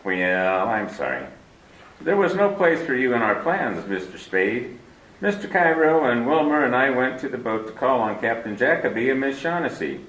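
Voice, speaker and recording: A man's voice: medium pitch, sing-song, normal speed, fairly bright tone, roomy sound, far from the mic, rough recording. A man playing a pompous, chuckling crime boss. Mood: candid. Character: fat, smooth, genteel older crime boss. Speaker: actor in a radio play. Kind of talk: 1940s radio acting.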